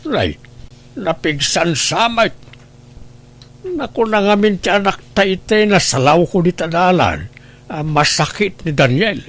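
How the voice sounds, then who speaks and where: Lalaki nga agak-akem iti naannad a lakay nga ama. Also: male voice, sits high, animated, a little slow, long pauses, fairly bright tone, heavily acted. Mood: cautious. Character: cautious, concerned older father and husband. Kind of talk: radio drama dialogue.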